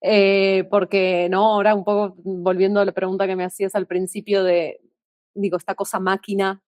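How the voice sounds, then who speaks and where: A woman co-hosting a podcast, chatting casually and backing her partner with quick agreement. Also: mid-range voice, little pitch movement, darkish tone, no room sound, studio quality. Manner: encouraging, frequent short agreements. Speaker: podcast co-host. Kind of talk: casual conversation.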